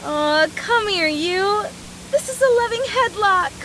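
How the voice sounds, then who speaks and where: A woman's voice: extremely high pitch, expressive, takes it slowly, a bit bright, slight background noise, full character voice. A woman playing a playful, affectionate, brash young woman soldier in a video game voice drama, with a casual teasing manner.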